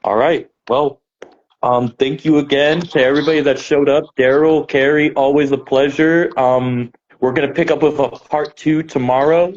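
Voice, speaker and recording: A man's voice: on the high side, fairly even intonation, moderate pace. A man hosting a casual chat show and talking through past matches in an easygoing way. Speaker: podcast host. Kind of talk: casual chat about wrestling. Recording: dull lo-fi sound with faint noise.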